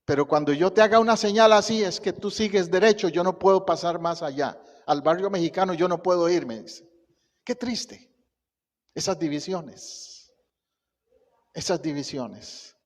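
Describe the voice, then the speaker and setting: A man from Costa Rica with a Costa Rican Spanish accent, a pastor preaching a sermon and telling stories in a lively way. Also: high-pitched, normal speed, in a large hall. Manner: storytelling, animated, laughs at own anecdotes. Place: church sanctuary.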